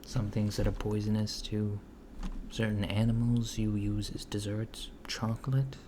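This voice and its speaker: A man playing a mild-mannered, curious alien interviewer in softspoken ASMR roleplay, asking hesitant, politely puzzled, clinical questions. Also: on the low side, little pitch movement, measured pace, lightly acted. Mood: curious, musing. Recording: clear background noise, keyboard typing. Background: computer keyboard typing.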